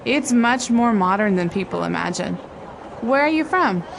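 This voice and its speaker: A woman's voice: on the high side, expressive, normal speed, creaky. A woman voicing a friendly local city worker in a scripted lesson dialogue, with vehicle noise behind.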